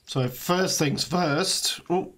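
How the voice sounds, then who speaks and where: An Australian man, slightly hoarse, giving a relaxed walkthrough of a video game he is playing, with frequent fillers. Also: on the high side, very slow. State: slightly hoarse, losing his voice. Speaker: let's play host. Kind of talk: casual gameplay walkthrough commentary.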